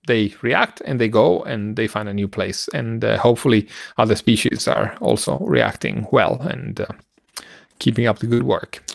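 A middle-aged man with a gravelly voice, co-hosting a casual science news discussion in a halting way, searching for words. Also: mid-range voice, no room sound, studio quality. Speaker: podcast co-host. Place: home room, video call. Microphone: headset on a video call.

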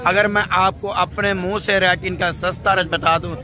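A man's voice: high-pitched, level tone, normal speed. A man, talking emphatically and repetitively to viewers as a livestock market vlogger. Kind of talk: vlog addressed to camera. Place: open-air livestock market. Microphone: phone at arm's length. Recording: busy outdoor crowd noise.

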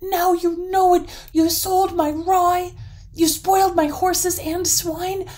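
A woman voicing a furious, blustering male troll from a storybook, with shouted, drawn-out emphatic delivery. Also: fairly low pitch, expressive, close to an everyday voice. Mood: raging.

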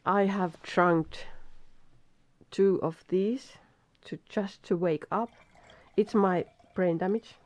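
A middle-aged woman with a Finnish accent, very tired, reading a text aloud in halting English with stumbles and restarts. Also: mid-range voice, steady tone, a little mellow, no room sound, highs cut off. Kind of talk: casual self-recorded reading practice. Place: small room at a desk.

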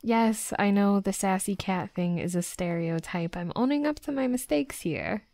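A woman playing a hot-headed, flustered young female werewolf, a softening bully, in an intimate roleplay audio drama, speaking haltingly and defensively. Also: mid-range voice, vocal fry, no echo, lightly acted. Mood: apologetic, vulnerable. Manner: halting, defensive, teasing, often dropping to soft murmurs.

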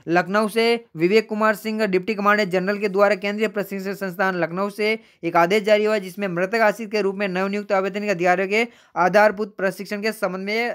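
Man, high voice, news channel host, reads official letters aloud, chatty, calls viewers friends. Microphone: voice-over on screen recording.

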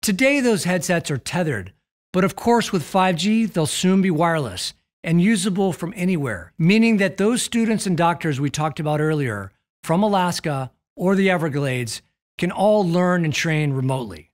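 A middle-aged American man presents a scripted keynote as an executive would. His voice sits a little high and moves with lively intonation. The delivery is measured and emphatic, with a polished corporate tone. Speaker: telecom executive giving a keynote. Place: indoor studio with plain backdrop.

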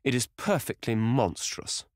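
A drawling, worldly gentleman of leisure, read by a man in a deep, dry voice. He tosses off barbed witticisms with lazy irony, as in a classic novel read aloud. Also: lively intonation, normal speed, dry recording. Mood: ironic. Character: languid, witty aristocratic gentleman.

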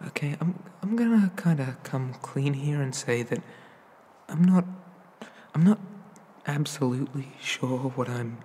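A man playing a shy, awkward, inexperienced young incubus demon in an ASMR roleplay, stammering and apologetic, with a husky, breathy voice. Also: medium pitch, expressive, measured pace, dark tone, big-room sound.

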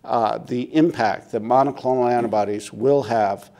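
A middle-aged American man moderating a medical panel, asking questions in a deliberate, formal way. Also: mid-range voice, gravelly, warm tone. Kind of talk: interview questioning. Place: indoor conference interview set.

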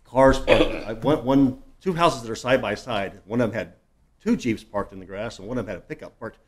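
A man's voice: mid-range voice, brisk. A man speaking as a council member, making informal, blunt and folksy complaints in a meeting room.

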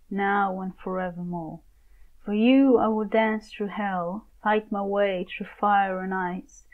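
A young woman giving a deliberate, theatrical scripted reading as an amateur voice actor. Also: medium pitch, phone-quality sound. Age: young adult.

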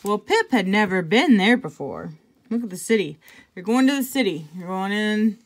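A woman reading a picture book aloud to children, conversationally, pausing for casual asides and check-in questions. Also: mid-range voice, very animated. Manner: conversational, pointing things out and asking check-in questions. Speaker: intervention specialist reading to children.

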